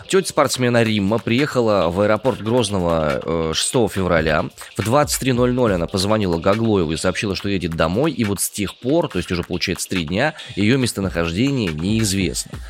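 A man co-hosting a news discussion show, reading news items and thinking aloud with some hesitation. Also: fairly low pitch.

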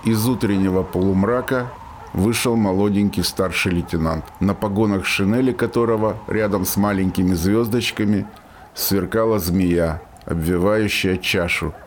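Low-voiced man narrating a radio drama. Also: normal speed, a little hiss or hum.

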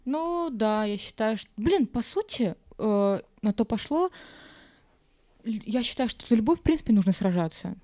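Mellow-voiced woman chatting casually. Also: on the high side, lively intonation, normal speed, no echo. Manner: conversational, acts out imagined remarks. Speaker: podcast participant. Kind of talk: casual chat.

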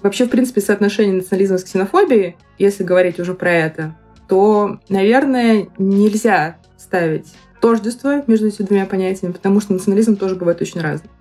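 A woman in her thirties giving reflective explanations in a podcast, picking her phrases haltingly and deliberately. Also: mid-range voice, darkish tone, faint music behind. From Moscow, Russia.

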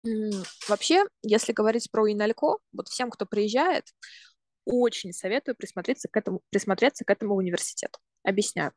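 A woman's voice: mid-range voice, darkish tone, no echo. Thoughtful young woman, dry close sound. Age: 20s. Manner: thoughtful, explains step by step, often pauses to rephrase. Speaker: podcast guest, university student. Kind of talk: casual explanatory talk.

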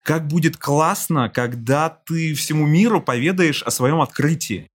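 A man speaks as a podcast guest, with a husky, slightly breathy voice. He tells a long story, explains it step by step, and acts out the people he quotes. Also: on the high side, measured pace. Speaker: podcast guest, creative director. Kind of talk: relaxed interview storytelling.

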